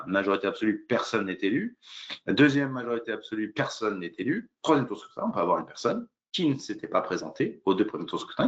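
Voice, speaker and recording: Un homme qui fait une présentation juridique explicative, semi-improvisée, de façon didactique, pas à pas, avec beaucoup d'hésitations. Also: male voice, lowish voice, highs cut off. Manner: hesitant, didactic, step-by-step with frequent fillers. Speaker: lawyer presenting a legal webinar. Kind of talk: explanatory legal presentation, semi-improvised.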